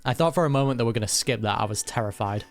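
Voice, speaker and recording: A man's voice: mid-range voice, very fast. A man giving casual reaction commentary on a video game, informal and thoughtful, with blunt wording. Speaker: gaming reaction host. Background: game music.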